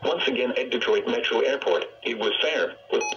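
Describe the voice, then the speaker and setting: A man's voice: mid-range voice, expressive, brisk, distant mic, highs cut off, music in the background. A synthetic man's voice reading a scripted weather bulletin, played through a weather radio speaker. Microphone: phone camera picking up a radio speaker. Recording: radio speaker picked up from afar, faint noise.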